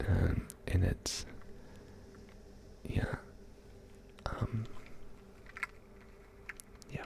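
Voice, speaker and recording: A man from Texas hosting a whispered ASMR livestream, chatting softly and answering his chat from a studio condenser microphone. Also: very slow. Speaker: ASMR streamer. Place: home studio. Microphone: studio condenser mic.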